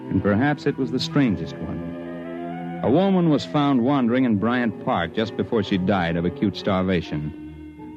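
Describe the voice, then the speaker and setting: Hard-boiled American male sleuth, played by a radio actor: suspicious, stubborn, low-pitched, with faint music on a dull 1950s recording. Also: close to an everyday voice. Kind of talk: 1950s radio drama acting and first-person narration.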